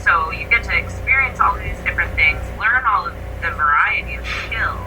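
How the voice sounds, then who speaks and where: This is a woman guest in a casual interview, an actor talking with eager enthusiasm. She sounds some distance from the microphone, and a clear layer of noise runs behind her. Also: fairly low pitch, lively intonation, moderate pace.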